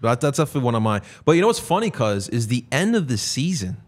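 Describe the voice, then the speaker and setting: A man's voice: medium pitch, very animated, fast. A young American man co-hosting a sports show with casual, conversational banter.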